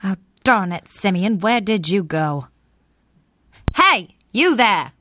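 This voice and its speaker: A woman's voice: medium pitch, very animated, slow pace, pushed and bright, dry recording, heavily acted. Inquisitive, spirited 1940s-style girl Friday for radio parody, mid-range, no room sound female actor. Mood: exasperated, curious.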